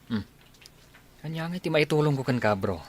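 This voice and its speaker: A man's voice: on the high side, expressive, normal speed, breathy edge. A man playing a teasing young soldier who gives his buddy love advice, with an Ilocano accent, in a comedy radio drama. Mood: advising.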